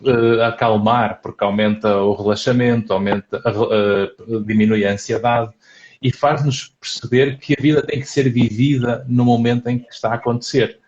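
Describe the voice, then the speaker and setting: A man's voice: medium pitch, steady tone, moderate pace, a little mellow. Hesitant Portuguese man, lo-fi call. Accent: European Portuguese. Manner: thoughtful, hesitant with frequent fillers. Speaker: psychologist host. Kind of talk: casual live chat.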